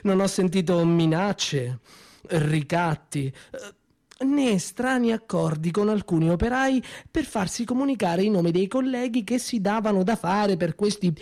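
A man acting a male office secretary who ironically denies seeing anything, delivering a theatrical monologue with mock-innocent denials and measured pauses. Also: high-pitched, expressive, creaky, darkish tone, full character voice. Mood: sly, ironic. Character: male office secretary feigning ignorance, sly. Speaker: stage actor.

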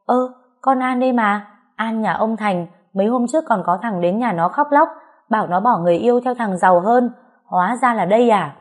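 A woman's voice: mid-range voice, a little fast, voice pushed up, close to an everyday voice. Lady narrator doing a gossipy neighbour in a story, nosy and judgy, talking people down. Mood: nosy, gossiping. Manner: nosy, judgmental, disparaging. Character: gossiping, scornful market-slum neighbours.

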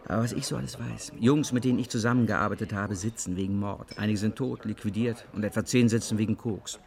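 A man's voice: on the low side, steady tone, fast talker, gravelly, darkish tone. An actor playing a hard-edged, insistent man who speaks bluntly in a naturalistic radio drama. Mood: bitter, cynical. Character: hard-edged, pressuring man with a shady past.

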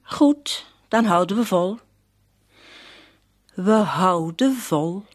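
Animated, fretful wife. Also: female voice, medium pitch, extremely slow, no echo, clean studio sound. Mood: fretful concern. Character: fretful, nagging but caring wife. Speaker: wife in a radio play. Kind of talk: Dutch-language radio drama acting.

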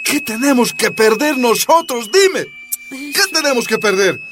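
An actor playing a gruff, defiant working-class husband in a radio drama, emphatic and scolding, with a Bolivian accent. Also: male voice, very high voice, expressive, measured pace, background noise. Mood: defiant, challenging.

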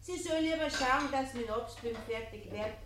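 A woman's voice: fairly high pitch, normal speed, natural voice. An actress playing a nagging, grumbling farmhouse woman with a Bavarian accent in old radio drama. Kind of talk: 1950s radio play acting. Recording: roomy, noisy old radio recording, distant.

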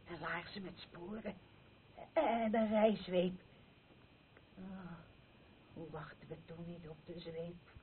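A woman's voice: on the low side, fairly even intonation. A woman playing an older, obsessive mother in a radio play, plaintively repeating herself like an incantation. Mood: haunted, insistent. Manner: slow, repetitive, incantatory. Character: obsessive mother, insistently and plaintively craving bread. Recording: tinny, noisy old radio recording.

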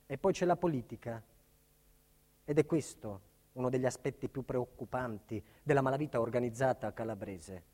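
A man's voice: mid-range voice, moderate pace, a little mellow, lightly acted. An actor playing an earnest, embattled businessman telling his life in a theatrical monologue, with emphatic, dramatic phrasing. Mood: indignant, grave. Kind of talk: theatrical first-person monologue. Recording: reverberant theatre hall recording.

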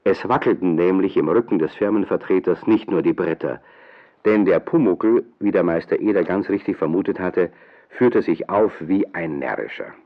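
A man's voice: low-pitched, moderate pace. A man narrating a children's radio play, reading a scripted story. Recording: dull, lo-fi radio play recording.

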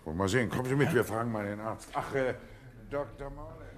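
An actor playing a brisk, affable police inspector in a 1950s German radio drama. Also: male voice, mid-range voice, lightly acted. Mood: businesslike. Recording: noisy old 1950s radio recording.

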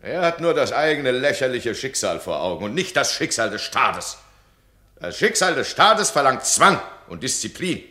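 A bossy stern duke, sharp bright voice, all over the place in pitch, echoey room. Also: male voice, big pitch swings, normal speed. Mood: imperious. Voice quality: imperious, scolding, swings loud. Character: domineering stern duke, absolute ruler. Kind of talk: radio play acting. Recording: roomy radio drama studio.

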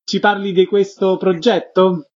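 A man's voice: high-pitched, level tone, a little slow, darkish tone. A man hosting a radio interview in a concise, announcer-like way. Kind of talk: radio interview, scripted presentation. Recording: dull radio sound, faint background noise.

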